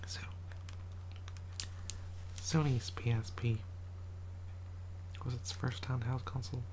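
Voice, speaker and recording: An ASMR creator in a quiet house, whispering a soft, rambling show and tell and often correcting themselves, voice a little rough from a cold. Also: mid-range voice, fairly even intonation, takes it slowly, a little hiss or hum, low-quality recording. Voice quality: whispered, soft-spoken delivery. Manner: rambling, self-correcting, chatty.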